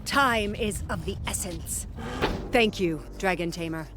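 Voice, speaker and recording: A woman's voice: mid-range voice, measured pace, over music, close to an everyday voice. An actress playing an earnest, devout woman soldier in a fantasy game, speaking formally and with urgency. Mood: urgent. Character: earnest, devout female soldier leading a unit. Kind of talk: scripted fantasy game cutscene acting.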